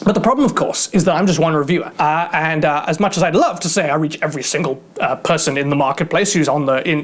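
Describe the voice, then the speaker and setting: A gear reviewer giving a casual product review in a chatty, rambling way, with self-deprecating humour. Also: medium pitch.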